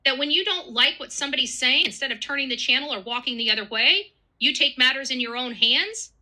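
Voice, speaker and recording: A woman with a high, very animated voice delivers casual commentary. She sounds very bright and piercing, with an emotional, dramatic way of talking. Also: a little fast. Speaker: online commentator.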